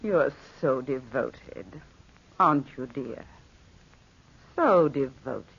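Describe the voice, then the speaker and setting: An actress playing an ailing, suspicious older aunt with a husky voice in an old-time radio drama. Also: female voice, very deep voice, lively intonation, slow, full character voice. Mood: pointed. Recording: muffled vintage radio recording.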